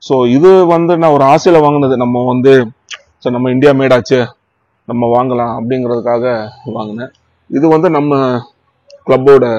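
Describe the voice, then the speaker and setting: A man with a Tamil Nadu accent talks casually in the manner of a motorcycle vlogger reviewing gear. His voice sits at an average pitch and he slips English words into his sentences. The recording is dry and slightly lo-fi. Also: dark tone, no room sound. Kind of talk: casual product review chat.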